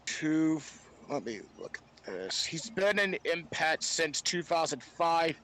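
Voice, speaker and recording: A man's voice: fairly high pitch, big pitch swings, slow pace, crowd noise. A man chatting casually as a podcast panelist, with noise behind his voice. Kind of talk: casual chat.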